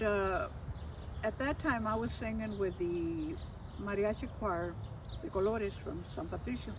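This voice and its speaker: An older woman telling personal stories informally, reflective and hesitant, mixing in Spanish phrases. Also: mid-range voice. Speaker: storyteller. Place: outdoors. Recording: distant mic, loud outdoor ambient noise.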